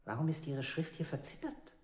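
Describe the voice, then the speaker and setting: An actor playing an accusing, probing interrogator in an avant-garde radio play. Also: male voice, a little high, sing-song, moderate pace, a little mellow. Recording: echoey room sound.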